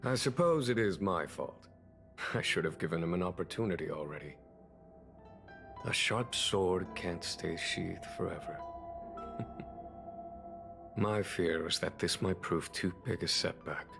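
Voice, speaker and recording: Low-voiced, weary general, patient and wise. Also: male voice, gravelly, fairly bright tone, soft background music, heavily acted. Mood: regretful, musing. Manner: measured, deliberate, formal. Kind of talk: scripted video game voice acting.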